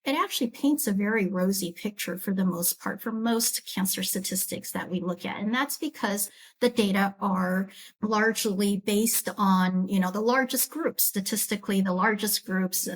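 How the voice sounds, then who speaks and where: A woman's voice: medium pitch, moderate pace, low-quality recording. A woman with a mid-range voice explains her research carefully. She sounds thoughtful, with small restarts as she works out her points. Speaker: epidemiology professor, podcast guest.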